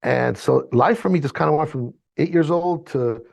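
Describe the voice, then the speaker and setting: A man in his fifties, born in Chile and raised in Miami, whose first language is Spanish, telling his story as a guest in a casual, slangy, animated way. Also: a little high, normal speed, gravelly, dry recording. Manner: animated, slangy, swears freely. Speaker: mixing engineer guest.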